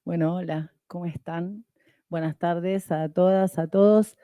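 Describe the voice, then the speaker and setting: A woman's voice: fairly low pitch, fairly even intonation, dark tone, no room sound, clean studio sound. A woman from Argentina speaking fluently and formally as a university rector on a panel. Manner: articulate, fluent and measured. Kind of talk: formal academic panel talk.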